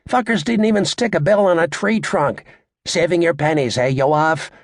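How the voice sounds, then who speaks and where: A man playing a brash, crude stand-up comedian working a club crowd in an audiobook, with a raspy voice and a cocky, sarcastic, showy, needling delivery. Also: fairly high pitch, moderate pace, no room sound, clean studio sound, natural voice.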